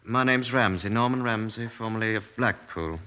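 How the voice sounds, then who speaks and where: A man's voice: on the low side, moderate pace, clear, resonant tone, lightly acted. A British man playing an earnest, suspicious witness in a 1950s radio drama, polite but insistent. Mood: earnest, uneasy. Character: earnest, suspicious male witness visiting police. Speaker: actor in a radio crime drama. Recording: dull, muffled 1950s radio recording.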